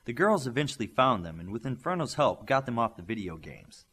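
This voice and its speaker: Lively male audiobook narrator, slightly husky. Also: mid-range voice, a little fast. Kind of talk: scripted fiction narration with character dialogue.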